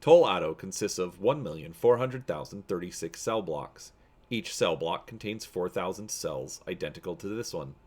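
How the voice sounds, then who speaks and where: A man's voice: low voice, lively intonation, normal speed, a little mellow. A man playing an officious, droning imperial officer giving a formal guided tour in a science fiction story.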